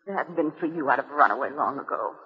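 Fearful wife, breathy rough voice, anxious, dramatic, vintage radio acting, dull reverberant recording. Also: female voice, very deep voice, animated, fast, exaggerated voice. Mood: anxious, confiding. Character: frightened, distraught wife confiding her fears.

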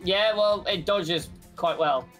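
A man's voice: high voice, slow, a bit bright. A man playing a cheeky, eager superhero sidekick in a casual improvised video game roleplay. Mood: cheeky, teasing. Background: music.

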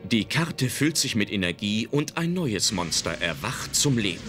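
Very animated storytelling narrator, median pitch. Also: a little slow, loud music behind the voice. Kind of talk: scripted audio drama narration.

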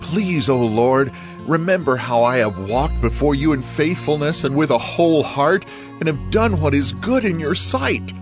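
A man's voice: on the high side, expressive, unhurried. A devout, suffering king over music. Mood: pleading. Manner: earnest, poetic, theatrical delivery. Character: ailing, devout king pleading and lamenting. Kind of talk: dramatized scripture reading.

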